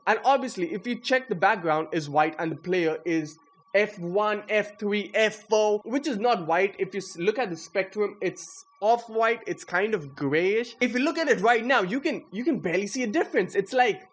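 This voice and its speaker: High-pitched young man, casual and slangy. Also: moderate pace, husky. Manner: informal, self-deprecating, slangy, excitable. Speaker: coder presenting own project. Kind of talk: casual screen-recorded coding walkthrough.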